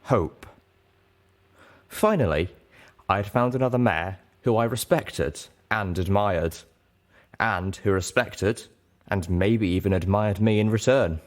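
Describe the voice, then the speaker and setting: A man narrating an audiobook as an earnest, self-doubting young mare, in a measured, deliberate way. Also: on the low side. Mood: reflective. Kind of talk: scripted first-person audiobook narration.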